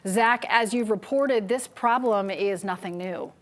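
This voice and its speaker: A woman's voice: medium pitch, measured pace. A woman anchoring a television news broadcast in a presenting style.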